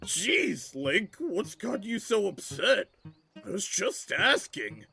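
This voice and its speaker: High, creaky, whiny village busybody. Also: male voice, sing-song, bright, forceful tone, soft background music, exaggerated voice. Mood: flustered, backing off. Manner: hesitant, drawn-out, wheedling and defensive. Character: nosy, dim, whiny village man, Manny, large Hylian. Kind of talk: fantasy audio drama acting.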